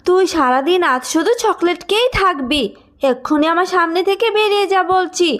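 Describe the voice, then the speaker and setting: Woman playing a high-pitched, dramatic cartoon mother. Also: animated, a little fast, fairly bright tone. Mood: scolding. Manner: emphatic, dramatic scolding and fussing. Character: scolding but loving village mother. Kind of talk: cartoon voice acting.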